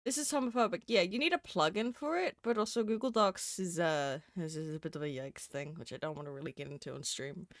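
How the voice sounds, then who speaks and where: A woman's voice: on the low side, a little fast, hardly any pauses, no room sound, clean studio sound. Giggly, sniffly woman streamer. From Southern Hemisphere. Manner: chatty and giggly, many fillers. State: sniffly with a cold. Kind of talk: casual live-stream chat. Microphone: desk microphone.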